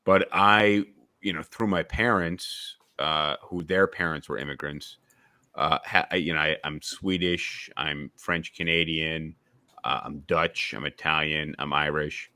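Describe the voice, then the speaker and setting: A young man hosts a casual discussion podcast in a very low, bright-toned voice. He rambles as he thinks aloud, restarting sentences and filling gaps with "you know." His talk is loose and conversational. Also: steady tone, measured pace. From suburbs of Albany, New York. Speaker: podcast host.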